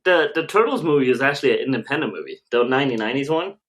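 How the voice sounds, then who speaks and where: Man, medium pitch, podcast co-host, informal slangy talk, casual chat. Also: animated, very fast, crowd noise. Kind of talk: casual chat among comics fans.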